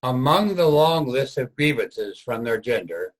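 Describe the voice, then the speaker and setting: Male narrator for reader's theater, husky, mid-range, scripted historical delivery. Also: mid-range voice, very animated, unhurried, poor audio.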